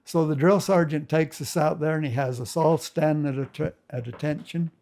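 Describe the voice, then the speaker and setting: A man's voice: a little high, steady tone, normal speed, vocal fry, darkish tone. An older man casually reminiscing as a family storyteller, rambling with folksy grammar and many restarts.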